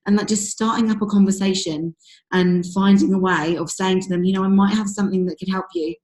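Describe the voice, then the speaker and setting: Husky woman on a video call. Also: mid-range voice, fairly even intonation, fairly quick, darkish tone. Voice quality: husky, losing her voice. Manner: chatty, many fillers like "um" and "you know". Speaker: team leader coaching sales recruits. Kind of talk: casual motivational coaching talk. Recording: echoey room over a video call.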